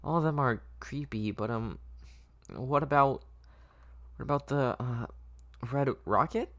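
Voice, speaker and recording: Man voicing a shy little girl, pitched up. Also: measured pace, a bit bright. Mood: awkward. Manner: hesitant, self-deprecating. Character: lonely, insecure young girl, shy and polite.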